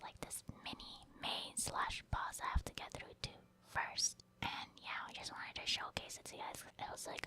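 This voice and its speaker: A woman giving soft-spoken, informal ASMR commentary over her own video game play, explaining it gently as she goes. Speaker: video game streamer narrating own gameplay.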